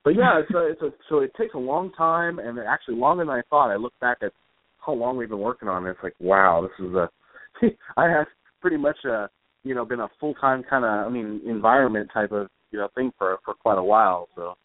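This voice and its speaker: Man talking haltingly over a phone-like line. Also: mid-range voice, animated. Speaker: guest, comic book author. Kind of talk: casual interview answers. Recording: roomy, phone-like internet radio call.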